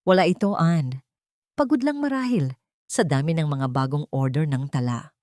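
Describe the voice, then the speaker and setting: A woman's voice: sits low, animated, moderate pace, mellow, dry recording, studio quality. A woman voicing a guarded man burdened by guilt, evasive and pleading, in an audio drama. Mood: evasive weariness.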